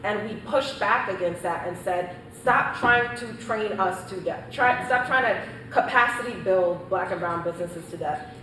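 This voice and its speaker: A woman's voice: medium pitch, moderate pace, pushed and bright. A woman entrepreneur, speaking confidently and emphatically on a panel, addressing the audience directly. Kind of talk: panel discussion answer. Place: panel event in a hall. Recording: echoey room, distant mic, background noise.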